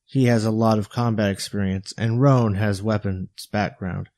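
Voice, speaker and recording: Man playing a low-voiced, sober bodyguard mentor. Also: steady tone, moderate pace, darkish tone, dry recording, studio quality, lightly acted. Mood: reassuring. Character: sober, apologetic male bodyguard and battle-worn mentor.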